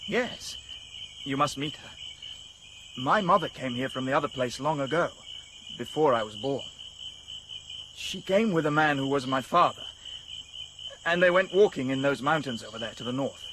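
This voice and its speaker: A man's voice: mid-range voice, expressive, fairly bright tone, a little hiss or hum, lightly acted, rough recording. An actor playing an earnest young man raised in a remote village, speaking solemnly in a radio drama. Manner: solemn, earnest, halting delivery. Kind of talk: BBC radio drama acting. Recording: insect and cricket ambience.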